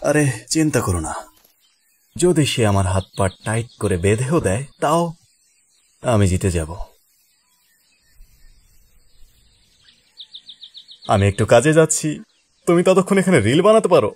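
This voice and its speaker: A man's voice: medium pitch, big pitch swings, brisk, long pauses, a little mellow, slightly rough. A man voicing a powerful, cocky sorcerer teacher in an anime fan dub, breezy and teasing with blunt menace. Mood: flippant.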